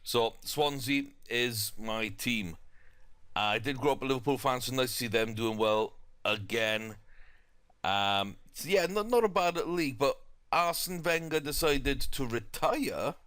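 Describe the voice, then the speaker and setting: A man's voice: medium pitch, animated, measured pace, fairly bright tone, no echo. A young man with a South Welsh accent, chatting casually through a football management game with lots of fillers and restarts. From South Wales. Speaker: gaming YouTuber.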